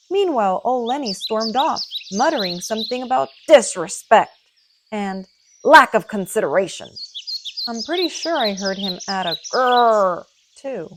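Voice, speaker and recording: A woman reading a picture book aloud for children in a dramatic, expressive storytelling manner. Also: fairly high pitch, slight background noise.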